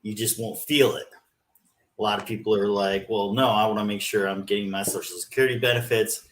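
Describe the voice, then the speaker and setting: Man, low voice, methodical explainer, casual podcast chat, faint noise behind. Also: fairly even intonation, brisk. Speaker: podcast co-host. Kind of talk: casual political discussion.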